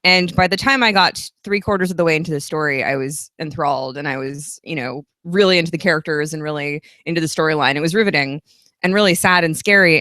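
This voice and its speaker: A woman's voice: deep voice, bright, forceful tone, dry recording. A woman in her thirties, a guest author at a live event, giving long, chatty, earnest answers. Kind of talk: casual interview at a live event.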